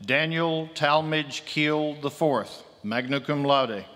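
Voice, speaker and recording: A man reading graduates' names at a commencement in a slow, measured, ceremonial manner at a podium microphone. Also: fairly high pitch, a bit bright. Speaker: commencement name reader. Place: large auditorium with long reverb. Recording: long hall reverb.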